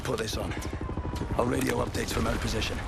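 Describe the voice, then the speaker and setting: Sweary scottish army officer in a war game, gravelly and bossy, big music behind him. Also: male voice, mid-range voice, steady tone, a little fast. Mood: commanding, briefing. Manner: brusque, commanding, sardonic, profane. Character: gruff, sweary Scottish squad commander in wartime. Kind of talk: cinematic war game acting.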